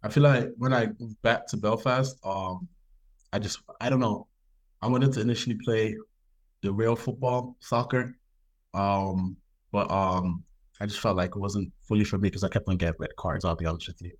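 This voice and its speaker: A young man from Ireland answering interview questions in a relaxed, laid-back way, with many ums. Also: on the low side, normal speed. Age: young adult. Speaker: guest football player.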